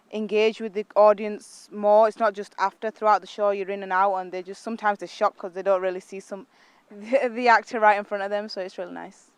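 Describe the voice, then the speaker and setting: A young woman, speaking at an ordinary middle pitch. She talks in a relaxed, hesitant way, stopping and restarting with plenty of fillers. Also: slight background noise. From Bradford, England. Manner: hesitant, many fillers, trails off. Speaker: interviewee, stage performer. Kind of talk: casual interview chat.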